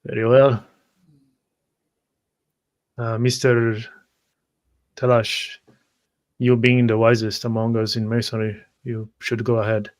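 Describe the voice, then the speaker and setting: Even, hesitant male roleplayer. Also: medium pitch, takes it slowly, pauses often. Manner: hesitant, repeating words. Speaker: tabletop roleplay player. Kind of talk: in-character roleplay, first-person action narration.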